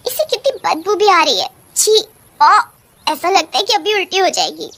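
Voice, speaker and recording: A woman's voice: very high voice, big pitch swings, normal speed, a bit bright, voice pushed up, full character voice. A woman voicing a snobbish city woman turned witch, haughty, dramatic and easily disgusted, in a cartoon story.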